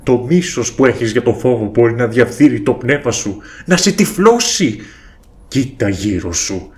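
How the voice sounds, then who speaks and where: A man voicing an ancient wise sage in a cartoon, giving slow, solemn counsel. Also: mid-range voice, expressive, natural voice. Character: ancient wise sage spirit, calm and grave.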